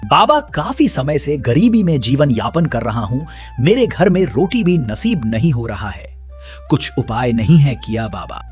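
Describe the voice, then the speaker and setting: A man's voice: mid-range voice, very animated, a little slow, faint music behind. A man playing a humble, pious, poor villager, earnest and reverent, in a children's cartoon. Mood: pleading. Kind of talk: Hindi cartoon moral-tale voice acting.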